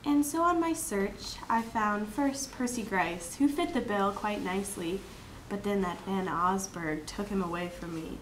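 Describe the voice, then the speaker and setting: A woman's voice: mid-range voice, a little slow, lightly acted. A woman playing a vain, money-hungry socialite fallen on hard times, in an amateur dramatic monologue. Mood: brisk, self-pitying. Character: vain, ruined socialite woman craving money, world-weary. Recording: echoey room, faint background noise.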